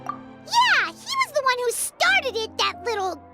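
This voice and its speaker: A woman voicing a tiny floating female companion, excitable and blunt, in a video game. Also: very high-pitched, over loud music, heavily acted. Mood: indignant. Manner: quick indignant outbursts.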